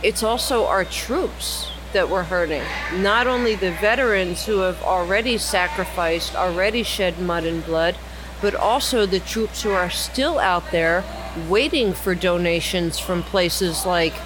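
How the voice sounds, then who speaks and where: A woman's voice: on the low side, measured pace. Woman podcast host, earnest persuader; noisy lounge recording with vehicles passing. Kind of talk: casual roundtable chat. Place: cigar lounge.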